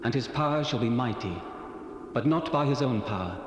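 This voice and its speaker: Angel Gabriel: stately herald with heavy reverb, long hall tail. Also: male voice, mid-range voice, lively intonation, normal speed, muffled recording. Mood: proclaiming. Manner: stately, proclamatory. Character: the angel Gabriel, commanding heavenly messenger. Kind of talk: 1970s dramatized scripture reading. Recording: hissy cassette tape.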